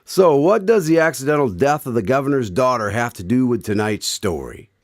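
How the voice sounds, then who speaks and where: A man's voice: mid-range voice, expressive, fairly quick, dry recording, studio quality, natural voice. A man playing a genial, curious radio announcer who hosts an old-time style drama in a courteous, theatrical way. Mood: intrigued. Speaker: announcer and host.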